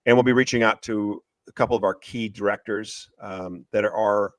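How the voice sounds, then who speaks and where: Excitable male author in a dry-sounding interview. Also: fairly low pitch, moderate pace, no room sound. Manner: excitable, emphatic, repetitive storytelling. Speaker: author and screenwriter being interviewed. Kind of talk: animated promotional interview talk, retelling a story.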